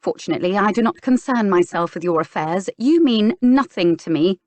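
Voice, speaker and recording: A woman's voice: medium pitch, animated, brisk, a little mellow, no echo, lightly acted. A woman voicing a prim, straight-laced young lady who grows indignant and furious. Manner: indignant, rising to anger.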